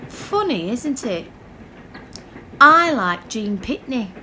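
A woman's voice: on the high side, very animated, slow pace, sharp tone, a little hiss or hum, full character voice. An actress playing a naive, inquisitive young woman in a radio play, blunt in manner. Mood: musing. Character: naive questioning young woman, bored by football. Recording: train ambience behind.

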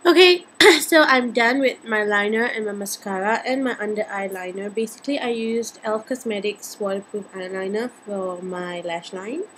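A woman in her twenties with a Singaporean accent and a slightly husky voice, chatting playfully through a casual makeup tutorial. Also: mid-range voice, normal speed, fairly bright tone, a little hiss or hum, low-quality recording. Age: 20s. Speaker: beauty vlogger. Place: indoors, small home room.

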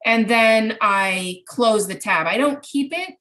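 A woman's voice: on the high side, slow, sharp tone. A middle-aged woman presenting an informal online workshop from home, explaining things step by step with examples. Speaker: presenter answering participants' questions. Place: home craft room, indoors. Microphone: computer mic on a video call.

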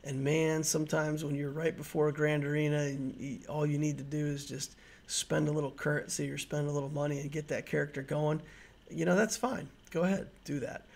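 A man's voice: on the high side, little pitch movement, a little fast, creaky, a little mellow. A man in his forties giving a conversational, patient explanation as a gaming video host, repeating points for emphasis. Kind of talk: explanatory slide presentation, conversational.

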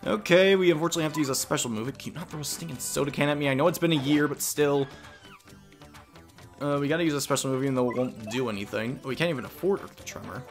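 A man's voice: on the high side, quick pace, soft background music. A man giving casual, rambling commentary while playing a game. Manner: mumbly and rambling. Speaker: let's play commentator.

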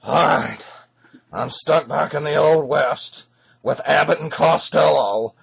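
A man's voice: on the high side, slow pace, gravelly, fairly bright tone, slightly rough, full character voice. A man playing a puzzled, grumbling time traveller who mutters to himself in a children's Western tale. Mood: puzzled, resigned. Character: bewildered time-travelling hero stranded in Old West.

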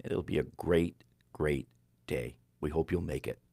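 Older guy hosting radio, really deep voice, dry headset mic, steady announcer pace. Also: gravelly, slightly rough, no room sound. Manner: warm, measured announcer delivery with slow-read phone numbers. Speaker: radio show host. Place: small broadcast studio.

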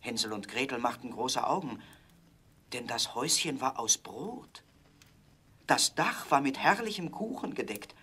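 A male narrator tells a fairy tale for children. His voice is husky and his delivery expressive, with lots of rise and fall. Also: medium pitch, unhurried. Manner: expressive storytelling.

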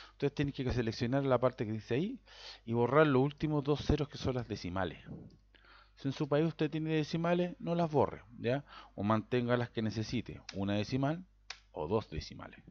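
A Chilean man with a Chilean Spanish accent, walking through a software setup in a casual screen-recorded tutorial, rambling and checking in with a constant "¿ya?". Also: medium pitch. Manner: rambling, repeats words, tags "¿ya?" constantly. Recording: dull lo-fi sound.

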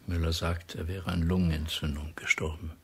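An actor playing a weary, conscience-torn middle-aged spy in a radio play, with a husky, breathy voice. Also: male voice, low-pitched, flat delivery, normal speed, heavily acted. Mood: weary, subdued. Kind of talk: intimate German radio play acting.

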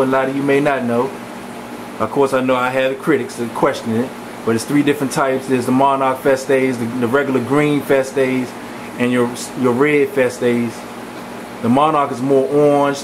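Male, casual rambling home-video narrator, mid-pitched, steady fan hum behind him. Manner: relaxed, rambling, with many fillers. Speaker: fish breeder giving a tour. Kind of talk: casual walk-through narration. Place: home aquarium room with running fans. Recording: camcorder audio with fan hum.

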